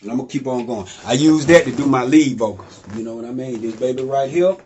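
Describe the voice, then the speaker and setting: A man's voice: quick pace, warm tone, a little hiss or hum. A man, casually explaining home studio gear in a loose, rambling way that keeps asking "you know what I mean?". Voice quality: deep voice. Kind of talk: casual how-to talk.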